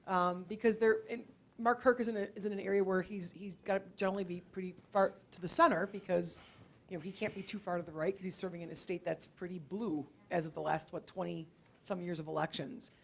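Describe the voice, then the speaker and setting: A woman's voice: fairly low pitch, fairly even intonation, quick pace, gravelly. A woman instructor talking informally with students, in a conversational, hesitant way with repeated words. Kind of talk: informal question-and-answer with students. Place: indoor college library event before an audience.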